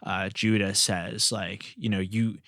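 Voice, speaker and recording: A man's voice: on the low side, level tone, slow, a bit bright, no room sound, studio quality. A man hosting a podcast, talking in a casual, conversational way. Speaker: podcast host.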